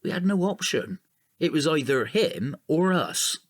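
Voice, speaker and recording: A man's voice: on the high side, big pitch swings, measured pace. A man playing a weary but resolute middle-aged father in an audio drama, terse and firm.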